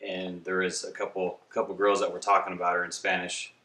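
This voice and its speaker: A man in his thirties with a low but bright, forward voice talks casually, as if on a family vlog. He goes haltingly, with good-humoured teasing. The room around him sounds echoey. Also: monotone. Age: 30s. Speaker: vlogger father. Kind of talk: casual couple Q&A chat. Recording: roomy indoor echo.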